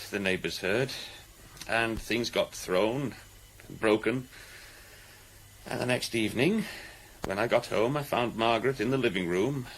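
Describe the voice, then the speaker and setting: An actor in a British radio drama playing an anxious accused man, speaking in a halting, troubled, earnest way. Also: lowish voice, gravelly, a little hiss or hum, poor audio. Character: anxious accused man protesting his innocence.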